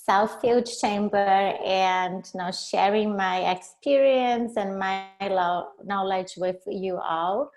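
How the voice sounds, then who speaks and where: Slow Brazilian-accented woman presenting. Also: mid-range voice, darkish tone. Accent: Brazilian Portuguese. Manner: halting, restarts words and self-corrects. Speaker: leadership coach presenting a webinar. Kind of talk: webinar presentation.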